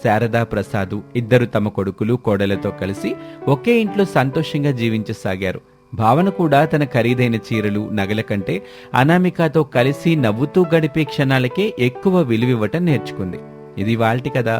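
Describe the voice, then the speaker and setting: A man's voice: mid-range voice, very animated, moderate pace, music in the background. A man narrating a scripted moral story as the storyteller. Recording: clear rain and engine noise behind.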